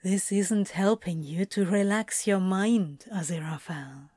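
A woman voicing a male demon yoga instructor in an audio fan fiction drama, soft-spoken and coaxing, patient but exasperated. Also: medium pitch, a little slow, slightly rough, no echo, clean studio sound.

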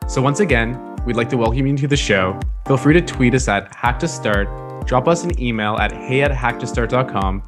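A man co-hosting a podcast, reading a steady scripted intro. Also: medium pitch, normal speed, over music. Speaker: podcast co-host.

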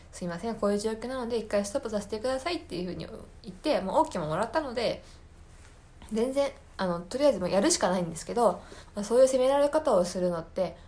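A young adult woman hosting a podcast, talking casually and venting, acting out remarks she quotes in an animated way. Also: mid-range voice. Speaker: podcast host. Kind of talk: casual monologue venting about work.

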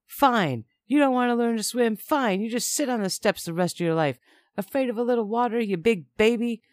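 An overwrought, volatile man, acted out by a male narrator in a dramatic story. He speaks in a raised, fairly high voice with theatrical emphasis. The recording is close and dry. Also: sing-song, quick pace, a little mellow, dry recording. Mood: snapping, scornful. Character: volatile, bullying man, swinging to gushing remorse.

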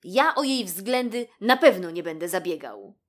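Woman plays stubborn little boy rabbit for storybook audio: raised pitch, firm, protective of friends. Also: very animated, normal speed, a bit bright. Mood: firm, grumbling. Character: loyal, grumpy young boy rabbit. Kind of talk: children's storybook character voice.

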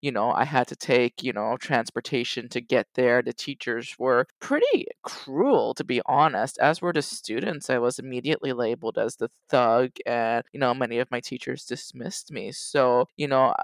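A man speaking personally on a panel, in a halting, conversational way full of verbal fillers. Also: medium pitch, creaky, no room sound. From Toronto, Canada. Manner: halting, frequent "you know" and "like".

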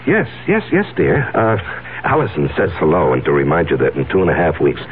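A man's voice: very deep voice, wildly swooping pitch, a little fast, exaggerated voice. An actor playing an anxious, respectable businessman, hesitant and earnest, in a 1970s radio drama. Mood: flustered. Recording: narrow, hissy old radio recording.

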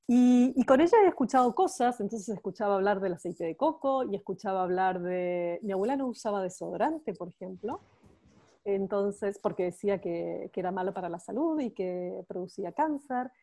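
A woman's voice: mid-range voice, animated, moderate pace. A woman in her forties with a Buenos Aires accent, a podcast guest speaking enthusiastically about her work and family. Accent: Buenos Aires Spanish. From Buenos Aires, Argentina. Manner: warm, enthusiastic storytelling with frequent restarts. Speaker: guest, cosmetics expert. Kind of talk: podcast interview.

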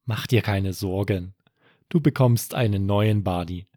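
A man voicing a caring, gently teasing mother who reassures her young son in a bedtime story. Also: lowish voice, unhurried, dry recording, studio quality. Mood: reassuring.

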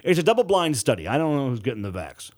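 Man reading as teasing, animated woman nurse. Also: mid-range voice, quick pace. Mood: disappointed. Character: flirtatious, sardonic woman nurse. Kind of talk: audiobook character dialogue.

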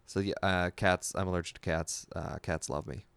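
A man's voice: sits low, steady tone, moderate pace, vocal fry, a bit bright, clear, resonant tone, no room sound. A man in his thirties hosting a podcast, talking in a casual, plainspoken, conversational way. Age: 30s. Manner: informal, plainspoken. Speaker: podcast host.

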